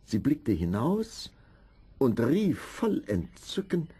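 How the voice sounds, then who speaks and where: A man narrating a scripted fairy tale in a measured, expressive storytelling manner. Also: medium pitch, breathy edge.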